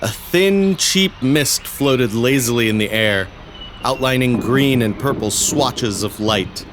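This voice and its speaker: A man's voice: mid-range voice, noisy background. A man narrating a scripted audio story.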